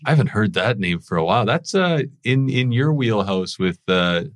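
A man co-hosting a podcast, animated and easily amused, asking casual questions. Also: on the low side, moderate pace, no echo, studio quality. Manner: animated, laughs often. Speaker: podcast co-host.

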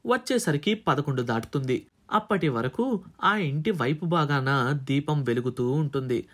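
A male audiobook narrator with a fairly high voice. His delivery is very lively and swings widely in pitch as he dramatizes the story in a radio jockey's expressive style. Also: moderate pace. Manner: dramatizes dialogue between characters.

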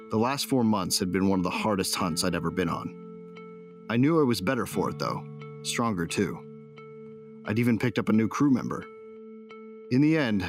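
Man acting a deep-voiced, cocky bounty hunter. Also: natural voice. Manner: colloquial, swaggering, wry. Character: cocky, hot-tempered male bounty hunter with a soft heart. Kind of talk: first-person scripted audio drama narration.

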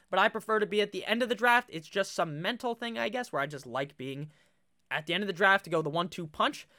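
Young male video host, high bright voice with a clear smooth tone and a slight nasal edge, loose and profane. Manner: swears freely, chatty and animated. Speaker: fantasy football YouTuber. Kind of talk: casual webcam talk while drafting. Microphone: webcam setup.

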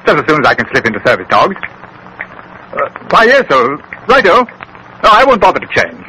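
An actor playing a hearty British army officer in colonial India, with clipped, jolly old-fashioned slang and a British accent, in 1930s radio style. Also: male voice, a little high, huge pitch swings, fairly quick, pushed and bright, heavily acted. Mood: reassuring. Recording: noisy vintage 1930s radio recording.

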